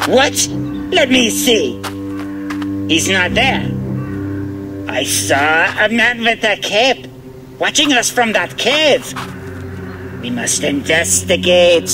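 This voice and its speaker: Obsessed german nazi scientist, high voice, slow and grandiose, radio play, music behind. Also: male voice, sing-song, heavily acted, rough recording. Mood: urgent. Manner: grandiose, exclamatory. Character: obsessed, arrogant Nazi zoologist leading an expedition. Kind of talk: radio drama acting.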